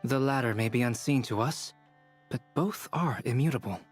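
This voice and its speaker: An actor playing a detached, analytical scholar in a video game, speaking in precise, formal phrases. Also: male voice, medium pitch, normal speed, slightly rough, soft background music, close to an everyday voice. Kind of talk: video game character acting.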